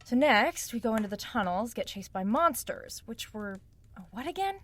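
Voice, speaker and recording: An actress playing a sharp, sarcastic young woman who probes for answers in a naturalistic audio drama. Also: fairly high pitch, animated, normal speed. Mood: sardonic, insistent. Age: young adult. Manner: wry, probing, sardonic.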